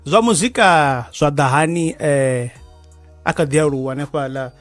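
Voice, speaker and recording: A man with a Venda accent hosting a radio show, asking halting questions and mixing English with Venda. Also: fairly high pitch, no room sound, faint music behind. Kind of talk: radio interview. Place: radio studio.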